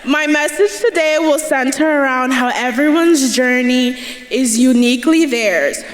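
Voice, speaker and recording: A young woman giving a prepared commencement speech as a student speaker, earnest and breaking into laughter between lines, recorded at a podium microphone in a large hall. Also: a little high. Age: young adult. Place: large indoor ceremony hall. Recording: echoey hall with crowd ambience.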